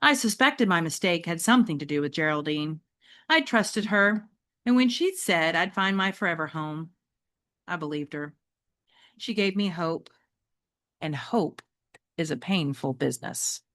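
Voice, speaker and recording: A woman's voice: fairly low pitch, measured pace, pauses often, dry recording, clean studio sound. A woman teacher reading a children's novel aloud expressively, speaking directly to her young listeners. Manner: expressive, addresses listeners with check-in questions.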